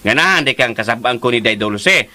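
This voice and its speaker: A man acting in a radio drama as a chatty, conspiratorial gossip with an animated manner. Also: medium pitch, normal speed, over music, natural voice.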